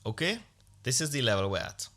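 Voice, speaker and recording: Blunt, ranting man with a bright voice. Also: medium pitch, sing-song, a little fast. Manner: blunt, ranting, sarcastic, profane. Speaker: podcast host. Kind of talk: casual opinionated podcast monologue.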